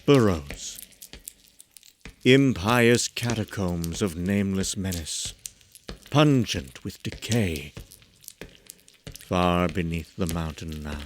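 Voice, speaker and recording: A man performing a haunted, horror-struck narrator of eldritch horrors in a theatrical, deliberate gothic style. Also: low-pitched, slight background noise. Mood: hushed dread. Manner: theatrical, deliberate, swinging from hushed whispers to anguished cries.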